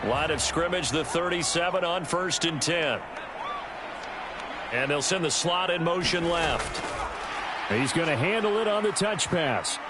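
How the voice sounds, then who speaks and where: A man's voice: fairly high pitch, expressive, measured pace, heavy background noise. A male play-by-play commentator calling an American football game in clipped, descriptive phrases.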